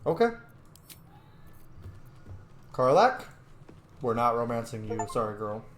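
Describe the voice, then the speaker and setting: A man's voice: mid-range voice, very animated, slow. Chatty man gaming in an echoey room. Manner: informal, chatty asides. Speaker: gaming streamer. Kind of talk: casual game playthrough commentary. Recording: roomy echo, faint background noise.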